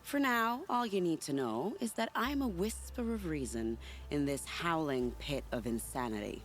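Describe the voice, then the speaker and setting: A woman playing a sly, secretive, charming spy in a video game, teasing in a silky, unhurried way. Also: sits low, expressive, faint music behind. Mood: sly, confiding. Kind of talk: video game cutscene acting.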